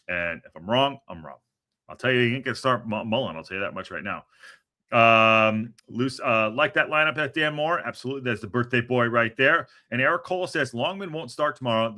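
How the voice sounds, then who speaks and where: A man hosting a football podcast, talking in an animated, rambling way with a lot of restarts. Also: medium pitch. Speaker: podcast host. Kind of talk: casual chat.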